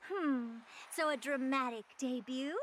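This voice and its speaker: An actress playing a smug, imperious woman scientist in an anime-style video game, curt and sarcastic with a deadpan edge. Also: sits high, a little slow, a little hiss or hum. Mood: dry, scornful. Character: haughty, self-declared genius woman scientist, curt and sarcastic.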